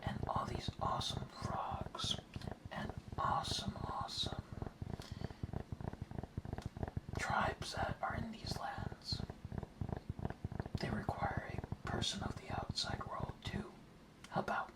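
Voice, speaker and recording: Guy doing an earnest frog guardian for asmr roleplay, whispering real slow, sounds kinda far from the mic. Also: natural voice. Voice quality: breathy whisper. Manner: slow, hushed, deliberate, repeating words. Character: earnest, welcoming dart frog guardian of the rainforest.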